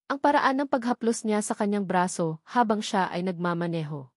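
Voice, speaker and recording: A woman reading a romantic drama aloud as its narrator, earnest and measured. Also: mid-range voice, expressive, dry recording, clean studio sound. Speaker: storyteller.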